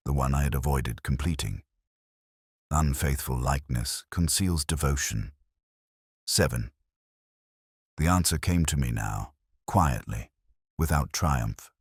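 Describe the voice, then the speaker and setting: A middle-aged man narrating a detective story in the first person as a melancholy, world-weary detective, in a measured, reflective, literary manner. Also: a bass voice, husky, no room sound, clean studio sound.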